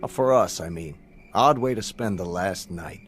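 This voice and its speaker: Low-voiced, assured astronaut, played by a man. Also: normal speed, a little hiss or hum, lightly acted. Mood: wistful, musing. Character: confident, reflective male astronaut on eve of launch. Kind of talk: radio drama dialogue.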